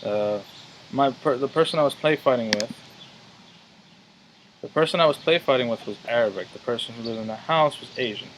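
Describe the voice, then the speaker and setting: A man in his twenties answering interview questions in a hesitant, measured way with fillers. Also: medium pitch, background noise. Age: 20s. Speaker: interview guest.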